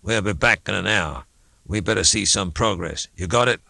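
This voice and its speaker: Guy doing a bullying company thug from an audiobook, cold orders, low intimidating voice. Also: normal speed, creaky, pushed and bright, no echo, studio quality. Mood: cold, threatening. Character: armed company enforcer evicting townsfolk, cold and bullying.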